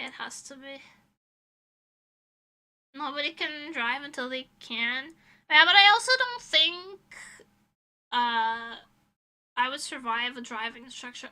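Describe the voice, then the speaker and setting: Female streamer, high-pitched and piercingly bright, blunt rambling chat delivery, casual and sweary. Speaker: livestreamer, illustrator. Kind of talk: casual livestream chat, reading and answering chat messages.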